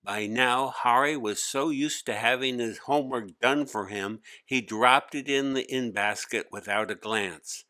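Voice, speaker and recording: A man reads a children's story as its narrator. His mid-pitched voice is bright and forceful, and he takes the scripted lines at a slow, measured pace. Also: dry recording. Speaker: author-narrator.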